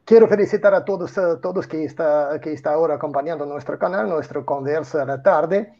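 Older Russian-accented man, halting Spanish. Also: on the high side, dark tone, dull audio. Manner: halting, many restarts and fillers. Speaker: guest, retired colonel. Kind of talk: interview answers in non-native Spanish. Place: home room.

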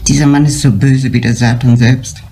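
A woman's voice: a bass voice, steady tone, normal speed, creaky, mellow. Blunt middle-aged mother, a tavern keeper. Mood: anguished. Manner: blunt, guarded. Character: stubborn, worried mother running a Munich tavern. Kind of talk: German crime drama acting.

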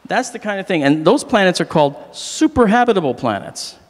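A middle-aged man with a slightly hoarse, fairly high voice lectures on stage, joking with the crowd. His pitch swings widely as he talks into a handheld mic. The sound carries a long hall reverb. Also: brisk. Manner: animated, joking with the audience. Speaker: astronomy lecturer. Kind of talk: public lecture with jokes.

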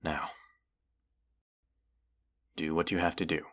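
A man's voice: extremely low pitch, level tone, a bit bright, heavily acted. A man voicing a proud, mighty dragon in a fantasy roleplay, haughty and formal in manner. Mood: haughty, guarded. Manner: haughty, deliberate, formal phrasing with menacing undertone. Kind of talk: fantasy roleplay audio acting.

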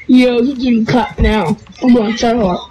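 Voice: mid-range voice, poor audio. A young player chatting casually and slangily, with some swearing, over game voice chat on a headset. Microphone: gaming headset over voice chat.

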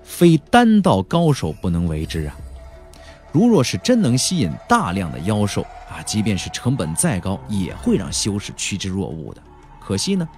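A man narrating a fantasy novel as a dramatic audiobook storyteller, theatrical, with drawn-out emphasis and colloquial touches. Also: lowish voice, wildly swooping pitch, a little mellow, faint music behind.

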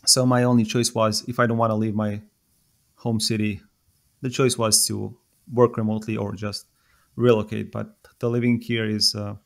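A Serbian-accented man in his thirties with a lowish voice that stays flat and even. He talks as a podcast guest, pausing and restarting as he thinks. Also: gravelly. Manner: thoughtful, with many fillers and restarts. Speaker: podcast guest, software developer. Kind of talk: casual podcast conversation.

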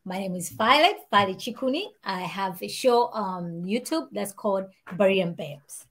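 A woman's voice: mid-range voice, moderate pace. Malawian woman chatting on a video call. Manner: animated, talks with her hands. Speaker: guest. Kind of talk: casual interview chat. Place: home room with shelves and warm lamp. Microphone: video call, earbuds.

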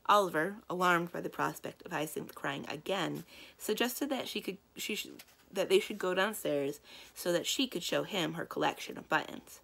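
Young woman, slightly low pitch, creaky voice, children's read-aloud, measured and expressive, indoors. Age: young adult. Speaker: library storyteller.

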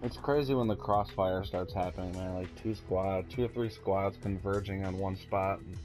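A man's voice: deep voice, steady tone, normal speed, darkish tone, soft background music. A man chatting casually in slang as he games with his squad. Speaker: gamer playing squad online shooter. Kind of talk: casual gaming chat.

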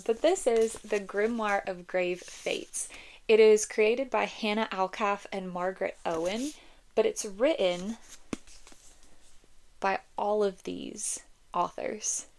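A young woman vlogging casually about books from her home, a little congested from a cold, talking with lots of "like" and "um". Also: medium pitch. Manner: chatty, frequent "like" and "um", reads blurbs aloud. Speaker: book vlogger. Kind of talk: casual chat and blurb reading.

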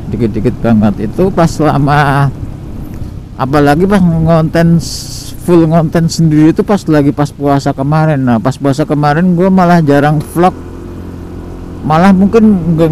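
A man's voice: fairly high pitch, moderate pace. Rambling Jakarta-slang man riding at night. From Indonesia. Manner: rambling, relaxed, many fillers. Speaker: motovlogger. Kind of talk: casual solo ride vlog. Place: riding a motorcycle at night on city streets. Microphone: helmet-mounted action camera. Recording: road and traffic noise behind. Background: engine and traffic.

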